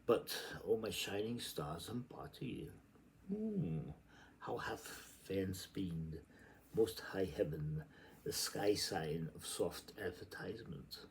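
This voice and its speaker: A man giving a theatrical, halting reading of literary prose, repeating words and shifting between murmurs and sudden emphasis. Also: deep voice, big pitch swings, gravelly, darkish tone, a little hiss or hum, low-quality recording. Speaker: reader. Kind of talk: dramatic amateur literary reading aloud.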